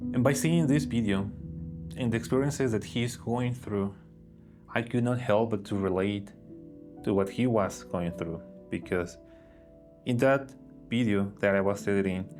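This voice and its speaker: Man, vlogger, low voice, earnest, reflective, soft guitar music behind. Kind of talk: personal story narration.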